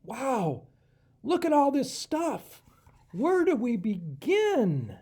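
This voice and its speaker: A man's voice: extremely high pitch, wildly swooping pitch, very slow, dark tone, husky, voice pushed up, heavily acted. A man voicing an eager, impatient young male raccoon in a children's storybook, exclaiming with enthusiasm. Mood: excited.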